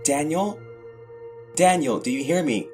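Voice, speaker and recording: A man voicing a formal, gentle female police officer with soft concern, in a horror audio drama. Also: a little high, lively intonation, measured pace, soft background music, voice pushed up.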